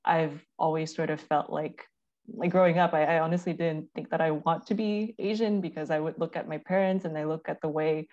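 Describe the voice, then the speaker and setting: A woman from Canada, a research analyst, speaking reflectively and softly in a panel discussion. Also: deep voice, fairly even intonation, brisk, highs cut off. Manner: reflective, soft-spoken, repeats words.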